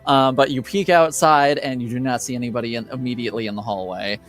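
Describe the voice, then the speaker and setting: A man improvising tabletop game narration as game master, speaking casually and haltingly. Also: medium pitch, faint music behind.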